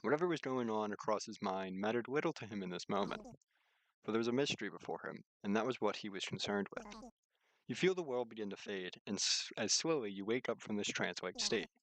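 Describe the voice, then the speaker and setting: Low-voiced man reading a story aloud. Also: lively intonation, a little fast, fairly bright tone, dry recording, rough recording. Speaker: streamer reading a visual novel aloud. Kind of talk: narrated read-aloud with casual live commentary.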